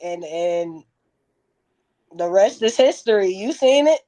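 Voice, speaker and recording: A woman's voice: medium pitch, lively intonation, slow pace, dark tone. A woman in her thirties telling stories candidly in a casual interview, with slangy, profane, emphatic phrasing. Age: 30s. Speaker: interview guest, music performer.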